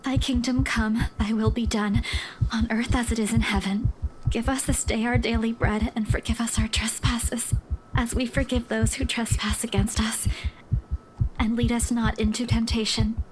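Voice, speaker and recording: A woman's voice: fairly high pitch, little pitch movement, normal speed, background noise, close to an everyday voice. An actress playing a woman solemnly reciting a prayer in a horror game.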